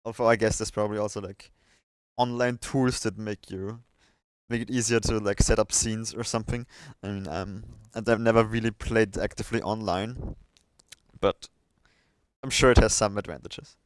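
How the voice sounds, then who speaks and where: A man's voice: lowish voice, fairly even intonation, normal speed, clear, resonant tone, no echo. A man hosting a casual livestream chat, thinking aloud and hesitating as he goes. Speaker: livestream host.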